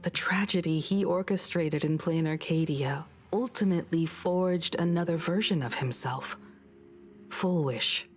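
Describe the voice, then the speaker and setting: A woman acts out a grave investigator in a game drama, with a lowish voice. She speaks solemnly and with measured weight, troubled and brooding, over background music. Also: natural voice. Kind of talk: dramatic video game voice acting.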